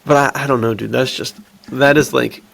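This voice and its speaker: A man's voice: mid-range voice, steady tone, normal speed, gravelly, fairly bright tone, slight background noise. A man chatting informally as a podcast host, in slangy talk sprinkled with "dude" and "like". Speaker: podcast host and adventure fiction writer. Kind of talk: casual film discussion chat.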